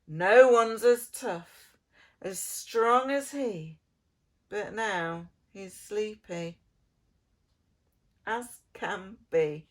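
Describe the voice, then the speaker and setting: A woman reading a children's picture book aloud as an expressive preschool storyteller, theatrical, drawing out words and dropping into soft, hushed phrases. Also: medium pitch, extremely slow, pauses often.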